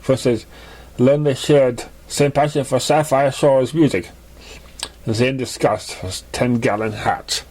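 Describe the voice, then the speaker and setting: A man reading a fan fiction story aloud in a halting, stumbling way. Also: mid-range voice, darkish tone. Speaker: story reader.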